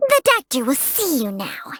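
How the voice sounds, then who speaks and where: A woman's voice: sits high, wildly swooping pitch, normal speed, no echo, studio quality. A woman voicing a small, imperious talking snake companion in a video game, blunt, haughty and impatient. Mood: smug. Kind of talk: video game character voice lines.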